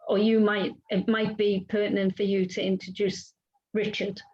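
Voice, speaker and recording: A woman's voice: mid-range voice, little pitch movement, normal speed, darkish tone. A woman talking casually in an online club meeting, explaining how the meeting should run, often restarting her sentences. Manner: rambling, self-correcting, explaining. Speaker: club member. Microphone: video call. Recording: dull lo-fi video call audio.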